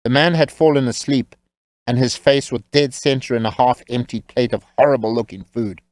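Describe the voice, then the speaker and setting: A man's voice: medium pitch, steady tone, normal speed, a little mellow, no room sound. A man narrating a scripted comic tale as a wry, tongue-in-cheek storyteller.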